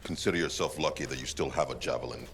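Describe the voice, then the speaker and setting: An actor playing a stern, curt military commander with an authoritative manner in a video game. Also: male voice, extremely low pitch, fast, a bit bright, a little hiss or hum. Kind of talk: video game character dialogue.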